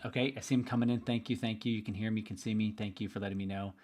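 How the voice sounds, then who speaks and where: A middle-aged man with a slightly hoarse, tired voice, hosting a live webinar in an upbeat, chatty way and talking straight to his listeners. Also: on the low side, steady tone, very fast, darkish tone. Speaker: investment trainer hosting a webinar.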